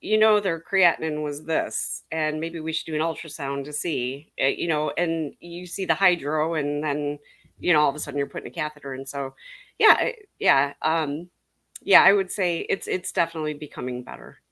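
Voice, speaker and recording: Low-pitched woman chatting casually. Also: moderate pace, fairly bright tone. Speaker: urology clinic panelist. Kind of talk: casual panel chat.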